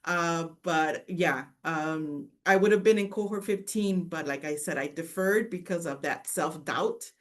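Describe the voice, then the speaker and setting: A woman's voice: on the low side, unhurried, few pauses. A woman who is a mother and graduate student, talking casually and hesitantly, correcting herself as she goes. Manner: hesitant, self-correcting, with filler words. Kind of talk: casual personal story.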